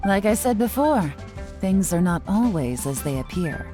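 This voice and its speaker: A woman's voice: mid-range voice, very animated, moderate pace, loud music behind the voice, lightly acted. A woman playing a shrewd, commanding spymaster in a video game, composed and authoritative. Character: cool, shrewd, commanding adult female spymaster. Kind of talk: video game character dialogue.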